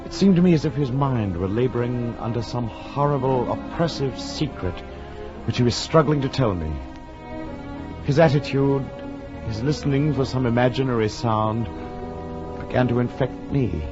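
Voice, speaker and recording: An actor playing an earnest, uneasy gentleman friend who narrates a gothic horror tale, grave and theatrical in 1940s radio style. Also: mid-range voice, normal speed, lightly acted. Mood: grave, foreboding. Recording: muffled 1940s radio recording with music.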